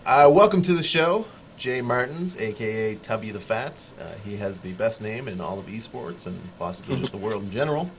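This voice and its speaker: A man's voice: fairly low pitch, expressive. A man hosting a casual online show, joking with his guest and asking him questions. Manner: joking, quick to laugh. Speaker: show host. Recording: clear background noise.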